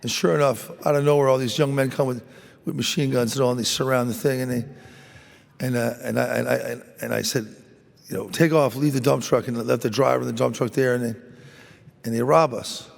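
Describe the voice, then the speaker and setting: A man from a mission team telling a story to a church congregation, halting and earnest, repeating words as he goes. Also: mid-range voice, fairly even intonation, vocal fry. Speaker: mission worker speaking at Mass. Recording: church hall reverb.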